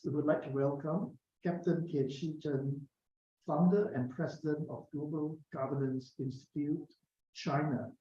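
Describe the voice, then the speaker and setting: Male moderator, courteous, dark tone, distant roomy audio. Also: a little high. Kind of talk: introducing speakers. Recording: distant, echoey, dull audio with faint noise.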